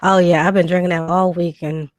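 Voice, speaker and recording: A woman, hoarse from a cold, hosting a live stream and answering viewer comments in a relaxed chat with lots of ums. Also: fairly low pitch, a little fast, no echo. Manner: conversational, reads comments aloud and answers them, frequent ums.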